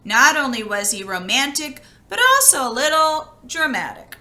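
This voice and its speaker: A woman reads a storybook aloud in a high, bright voice. Her delivery is expressive and conversational, with the chatty feel of someone sharing the book with listeners. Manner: expressive, conversational, pausing to comment. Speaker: storybook reader.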